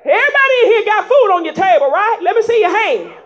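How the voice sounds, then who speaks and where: A woman preaching a sermon in folksy vernacular, rising into shouts and prodding the congregation with repeated calls of "huh?" in a dull lo-fi recording. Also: very high voice, sing-song, fast talker. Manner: emphatic call-and-response preaching with frequent "huh?" prompts.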